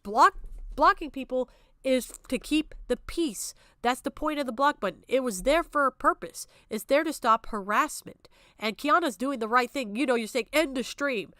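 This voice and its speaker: A woman's voice: high voice, pushed and bright, no room sound, studio quality. A woman streamer giving heated off-the-cuff commentary, blunt and emphatic, swearing freely and repeating herself to make her point. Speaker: streamer reacting to a recorded stream.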